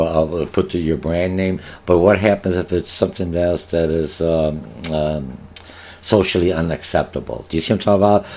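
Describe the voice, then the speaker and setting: An older man with a very low, deep voice walks through a marketing course outline in an online lecture. Polish is his first language, and he talks in a rambling way, full of filler sounds, restarts and questions he asks the class. He is recorded on a webcam in a home office. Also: darkish tone. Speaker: college marketing instructor. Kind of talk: online lecture over slides.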